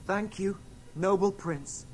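A man's voice: high-pitched, takes it slowly, slight background noise, poor audio. An actor playing a brave, earnest, courteous young boy adventurer in a radio drama. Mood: courteous, pleading.

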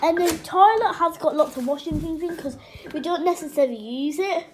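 A woman's voice: extremely high pitch, brisk. A girl giving a hesitant, rambling house tour on a phone held at arm's length, with lots of ums. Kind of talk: casual home vlog. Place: suburban house, indoors and garden. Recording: noisy phone recording at home.

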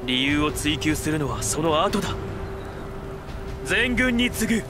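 A man acting an easygoing but earnest young army commander in a Japanese video game, with casual, rough-edged speech. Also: fairly high pitch, very animated, unhurried. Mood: resolute. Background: background music.

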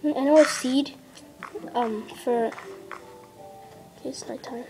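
A woman's voice: high-pitched, fairly even intonation, slow pace, over music, poor audio. A young girl casually narrating her mobile gameplay indoors, rambling with lots of fillers and dropping into half-whispers. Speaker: gameplay vlogger. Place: indoors at a table. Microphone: device camera filming a handheld screen.

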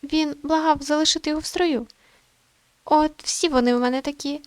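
A woman's voice: sits high, steady tone, a little fast, dry recording, pitched above the performer's own voice, lightly acted. A woman playing a distraught older mother in a visual novel, speaking with urgency and grief. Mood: grieving.

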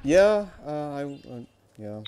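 A young man with a deep, mellow voice talks loosely over gameplay. He hesitates often and trails off into fillers, keeping things relaxed and unhurried. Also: clear, resonant tone. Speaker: let's play host. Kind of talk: casual chat over gameplay.

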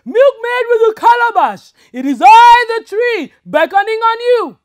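A woman voicing a mischievous boy who shouts from inside a hollow tree, pretending to be the tree. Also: lively intonation, a little slow. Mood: booming, teasing. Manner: loud booming call.

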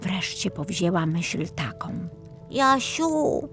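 A woman narrating a children's fairy tale as a storyteller, in a measured, dramatic reading. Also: lowish voice, animated, faint music behind.

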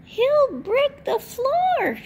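A woman's voice: extremely high pitch, huge pitch swings, extremely slow, a little mellow, a little hiss or hum, pitched above the performer's own voice, exaggerated voice. A woman voicing a small, fretful chicken from a storybook in a clucky, exclaiming manner. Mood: fretful amazement.

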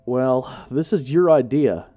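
A man's voice: medium pitch, slow, dark tone. A man voicing a big, cocky, boastful male friend in a horror story, with brash, slangy bravado.

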